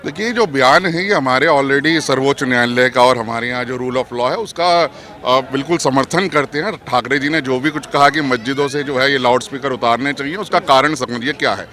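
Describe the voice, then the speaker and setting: A man's voice: mid-range voice, moderate pace, bright, forceful tone. A man giving argumentative political opinion in an interview, emphatic and rhetorical, mixing in English terms.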